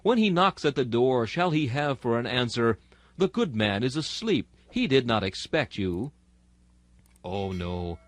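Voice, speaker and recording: A man's voice: medium pitch, big pitch swings, a little slow, no room sound. A man reading an old-fashioned sermon aloud, solemn and exhortatory, with rhetorical delivery. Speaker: sermon reader. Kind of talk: dramatic sermon reading in archaic Victorian English.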